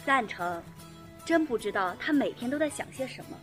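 A woman's voice: a little high, animated, normal speed, fairly bright tone. A woman acting a blunt, teasing teenage schoolgirl in an audio drama. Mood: blunt, scornful. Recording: music playing behind.

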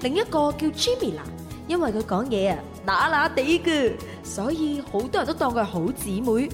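Young female assistant, radio drama, bubbly, self-assured, animated pitch, reverberant, music behind. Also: normal speed. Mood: bright, confident. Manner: chirpy, self-assured, expressive. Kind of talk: first-person radio drama monologue.

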